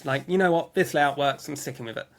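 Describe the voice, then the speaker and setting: A man's voice: on the high side, fast. Informal male interview guest, explaining casually.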